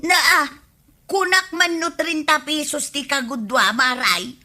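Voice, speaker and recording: Grumbling village shopper, Ilocano accent, forceful bright tone, higher pitch, radio comedy-drama. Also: female voice, expressive, a little slow, heavily acted. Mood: haggling. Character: complaining, haggling village woman.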